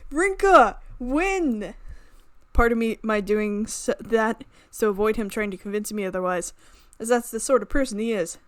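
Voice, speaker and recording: A woman doing expressive let's play commentary, mixing dramatic reading of a visual novel with casual, reactive asides. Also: on the high side, moderate pace, no echo, studio quality. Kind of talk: game commentary mixed with dramatic read-aloud. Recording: music playing behind the voice.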